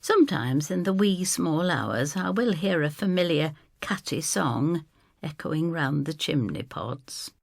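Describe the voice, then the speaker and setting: Low, gravelly, confiding storybook landlady, acted by a woman. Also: animated, measured pace, no echo, heavily acted. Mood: cosy, confiding. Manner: confiding, wry, homely. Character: Brenda, cosy, wry, motherly landlady narrator. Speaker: first-person narrator. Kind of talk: dramatised scripted storytelling.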